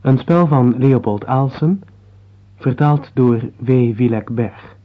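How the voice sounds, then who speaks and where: A man working as a radio announcer, reading scripted closing credits in a measured, formal way. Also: mid-range voice. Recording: narrow tinny old radio broadcast.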